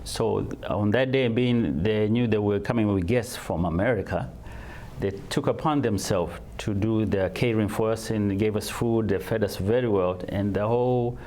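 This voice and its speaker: A man from Tanzania answers an interviewer's questions in a low voice. He talks haltingly, repeating words and restarting sentences as he searches for the right phrasing. A faint noise sits under the recording. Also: dark tone. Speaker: guest.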